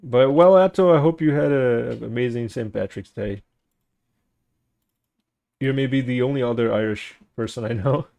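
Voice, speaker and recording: A man's voice: medium pitch, moderate pace, creaky, pauses often, mellow. A young man, a native Portuguese speaker with a Portuguese accent, chatting casually with stream viewers, rambling, searching for words and laughing often.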